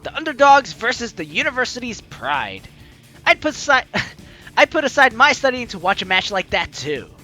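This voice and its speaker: A woman hosting a let's play, chatty and excitable, reading game narration aloud with drama and commenting as she goes. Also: on the high side, moderate pace. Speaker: gaming video host. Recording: game music behind the voice. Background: game music.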